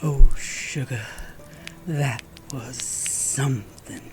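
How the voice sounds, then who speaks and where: A man's voice: mid-range voice, very slow, over music. A man playing a flirtatious, roguish werewolf bounty hunter in a Western audio roleplay, with a teasing, theatrical Southern American drawl. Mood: wounded, vengeful.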